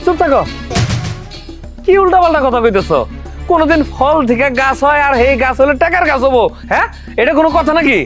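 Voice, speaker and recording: Stern, doubting young husband, speech, high voice, played by a man. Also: lively intonation, a little fast, pauses often, a bit bright, soft background music. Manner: scolding, stern. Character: doubtful young village husband, quick to scold. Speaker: husband, son.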